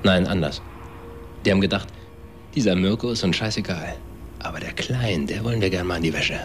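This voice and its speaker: A man's voice: sits low, fairly quick, slight background noise, close to an everyday voice. An actor playing a cynical, coarse man recounting events in a naturalistic radio drama, in clipped, sardonic slang. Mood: bitter, sardonic.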